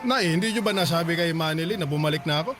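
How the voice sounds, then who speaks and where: A man's voice: a little high, quick pace, over music, lightly acted. A man playing a shameless middle-aged father who talks smugly and mocks others in a radio drama. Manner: smug, mocking, laughing.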